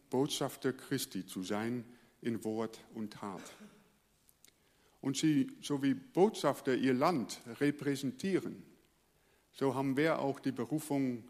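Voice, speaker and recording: A male pastor from the Netherlands, a native Dutch speaker, preaching earnestly and letting his phrase endings fall quiet. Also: mid-range voice, in a large hall. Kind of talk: sermon.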